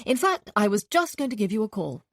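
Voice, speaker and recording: A woman reading an audiobook, voicing a cordial, airy, well-spoken gentleman. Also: mid-range voice, sing-song, fairly quick, no echo, clean studio sound, voice pushed up. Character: cordial, breezy upper-class gentleman.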